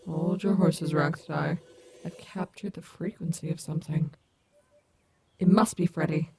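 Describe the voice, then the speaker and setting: A woman's voice: low-pitched, fairly even intonation, measured pace, background noise. A woman playing a cocky but caring animatronic wolf rock star in an ASMR audio roleplay, teasing and affectionate. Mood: alert, reassuring.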